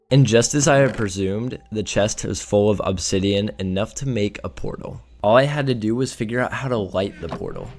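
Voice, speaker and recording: Slightly raspy young man narrating gameplay. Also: lowish voice, a little mellow, a little hiss or hum. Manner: casual, offhand, trips over words now and then. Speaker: gaming video creator. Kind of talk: casual gameplay voice-over narration.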